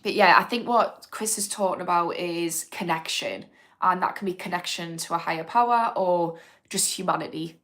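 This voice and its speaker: Woman, slightly low-pitched, casual and frank, livestream host answering comments. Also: normal speed, fairly bright tone. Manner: chatty and frank, thinking aloud with fillers. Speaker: host of an addiction recovery channel.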